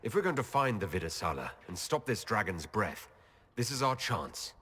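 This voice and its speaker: A man's voice: fairly low pitch, sing-song, normal speed, fairly bright tone, breathy edge, full character voice. An actor playing a serious, direct, diplomatic male elf leader in a video game. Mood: serious, inquiring.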